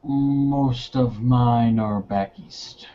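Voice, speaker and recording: Unhurried man, dull audio. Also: mid-range voice, dark tone. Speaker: tabletop roleplay player. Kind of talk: casual tabletop roleplay and narration. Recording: dull lo-fi voice recording.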